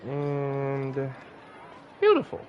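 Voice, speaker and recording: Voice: mid-range voice, huge pitch swings, drawn-out words, dull audio, a little hiss or hum. A gamer giving casual playthrough commentary, informal and slangy, muttering reactions as they go. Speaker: gamer, streamer. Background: loud game music.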